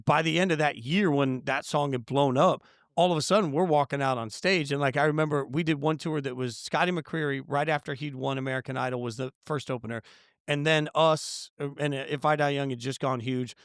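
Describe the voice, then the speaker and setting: A man appearing as a guest on a sports podcast, telling animated stories full of fillers. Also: fairly high pitch, fairly quick, no room sound, clean studio sound. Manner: animated storyteller, lots of fillers. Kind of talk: casual interview storytelling.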